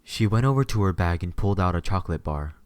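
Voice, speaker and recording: A man's voice: deep voice, animated, fast, clear, resonant tone, dry recording. A man reading scripted story narration as the narrator of an audio drama.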